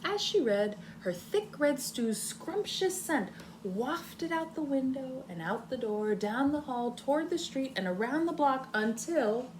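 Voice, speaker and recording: Expressive woman teacher reading to young children. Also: high-pitched, a little slow, a little hiss or hum, poor audio. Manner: instructional, speaks directly to young listeners, thinks aloud. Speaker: kindergarten teacher reading aloud. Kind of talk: children's read-aloud lesson with prompting questions.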